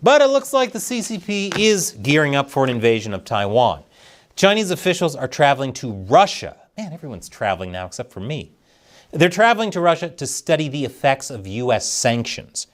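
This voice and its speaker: A man's voice: mid-range voice, sing-song, a little fast. A man hosting a scripted satirical news show, delivering commentary in a sarcastic, mock-serious manner with dry punchlines.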